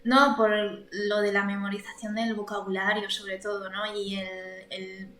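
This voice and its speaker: A woman's voice: medium pitch, flat delivery, unhurried, a bit bright. A woman co-hosting a podcast, speaking hesitantly with fillers and trailing phrases. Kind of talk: casual chat. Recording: roomy, echoey sound.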